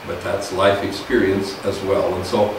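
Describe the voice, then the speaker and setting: A man in his sixties, a government teacher, giving a loud, folksy talk to a small audience in a meeting room. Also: low voice, flat delivery, unhurried. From US (Idaho). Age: 60s. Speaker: guest speaker, government teacher. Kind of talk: informal speech to a small audience. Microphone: podium mic, heard from afar. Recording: echoey room, distant camera mic, hiss.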